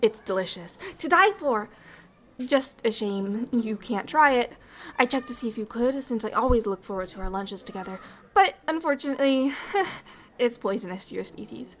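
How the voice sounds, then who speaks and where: Woman playing a bubbly, higher-pitched friendly alien ambassador. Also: moderate pace, lightly acted. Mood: chatty, friendly. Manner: bubbly, chatty, apologetic, excitable. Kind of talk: ASMR roleplay, chatty and intimate.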